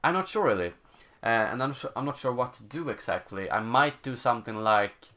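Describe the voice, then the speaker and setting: A man's voice: mid-range voice, animated, pushed and bright. A young man from Sweden with a Swedish accent, answering viewer questions on a gaming live stream and often searching for words. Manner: halting, searching for words, many fillers. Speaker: gaming channel host. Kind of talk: live stream Q&A, casual chat.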